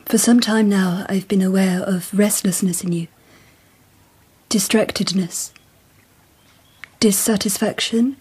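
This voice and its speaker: Very breathy empress in a radio play, formal, poised, watchful, a bit wounded. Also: female voice, mid-range voice, steady tone, slow, long pauses, darkish tone. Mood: probing, restrained. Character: dignified, perceptive empress, quietly wary and wounded. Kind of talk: radio drama acting.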